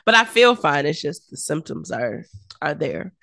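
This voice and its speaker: A woman in her thirties co-hosting a podcast in casual chat, her voice low and raspy from being sick. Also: sing-song, moderate pace, sharp tone, no echo, studio quality. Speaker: podcast co-host.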